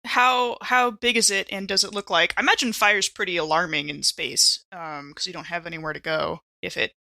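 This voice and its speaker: A woman's voice: fairly low pitch, steady tone, brisk, vocal fry, pushed and bright, no echo. A woman chatting casually as a player in a tabletop roleplaying game.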